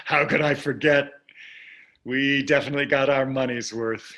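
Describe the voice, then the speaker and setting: A man's voice: mid-range voice, fairly even intonation, slow pace, a bit bright. An older man playing a wealthy, glib and dismissive husband and father in a staged play reading. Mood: nostalgic.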